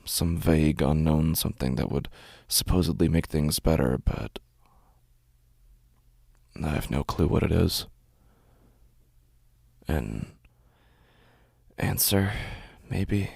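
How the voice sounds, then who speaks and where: An actor playing a weary, haunted walking-dead man in a horror audio drama, brooding and numb, with halting, deliberate phrasing. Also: rumbling low, gravelly, darkish tone, slightly rough, dry recording, clean studio sound, exaggerated voice. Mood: brooding, resigned.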